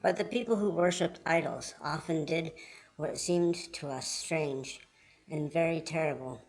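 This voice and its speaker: A man reading scripted Bible storybook narration in a measured, earnest manner. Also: on the high side, vocal fry.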